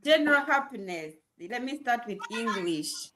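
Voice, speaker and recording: A woman from Uganda with a Ugandan accent introducing herself as a guest on a live online panel, enthusiastic and deliberate. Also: a little high, lively intonation, crowd noise. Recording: noisy video call audio.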